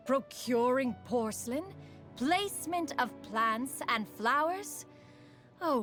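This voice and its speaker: A woman's voice: fairly high pitch, a bit bright. A woman voicing a dignified, haughty yet caring immortal adeptus in a video game, with formal, archaic diction. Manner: formal, lofty, archaic diction, calls oneself "one". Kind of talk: scripted video game character voice lines.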